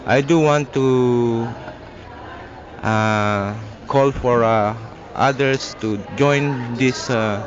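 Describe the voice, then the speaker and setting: A man with a Filipino accent answering an interview in a halting, formal and wordy manner. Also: mid-range voice. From Philippines. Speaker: political march participant being interviewed. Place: outdoors at a march rest stop. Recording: muffled archive field recording.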